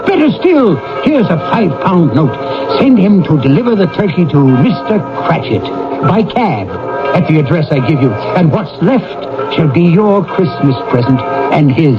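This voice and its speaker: An actor playing a reformed old miser in a theatrical radio drama, with an emotional, exclamatory delivery. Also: male voice, on the high side, very animated, moderate pace. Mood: delighted, eager. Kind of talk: theatrical radio drama acting with first-person narration. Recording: muffled old radio broadcast with music. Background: music.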